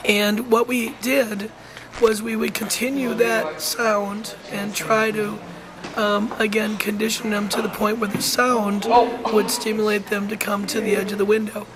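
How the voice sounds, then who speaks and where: Hoarse, halting narrator with a flat voice. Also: medium pitch, a crowd in the background, low-quality recording. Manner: halting, frequent ums and restarts. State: hoarse, losing voice. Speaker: wolf center educator. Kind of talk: informal voice-over narration.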